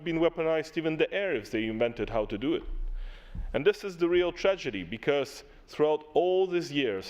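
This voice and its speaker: A man's voice: medium pitch, darkish tone. A man speaking as a delegate in a parliamentary assembly, giving a formal, impassioned debate speech with emphatic repetition, at a desk microphone in a large chamber. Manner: impassioned, emphatic, repeats key words.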